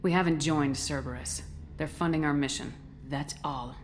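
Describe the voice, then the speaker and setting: An actress playing a tough, loyal military commander in a video game, speaking firmly and directly. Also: female voice, sits low, steady tone, normal speed, faint music behind, natural voice. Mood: firm, probing. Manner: firm, direct. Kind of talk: video game dramatic acting.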